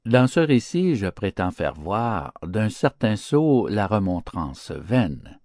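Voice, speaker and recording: A man narrating storybook fables in a theatrical, declamatory and expressive style. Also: on the low side, takes it slowly, no room sound, studio quality. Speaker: audiobook narrator.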